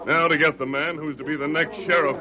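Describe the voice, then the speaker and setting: A man's voice: fairly high pitch, fairly even intonation, fast talker, a crowd in the background, natural voice. An actor playing a commanding, heroic western lawman in a radio drama, with an American accent. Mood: resolute. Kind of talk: 1940s radio western acting. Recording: muffled old radio recording.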